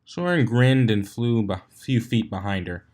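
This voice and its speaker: A man's voice: fairly low pitch, expressive, measured pace, darkish tone. A man reading fan fiction aloud as a storybook narrator, in an amateur way with occasional stumbles and retries.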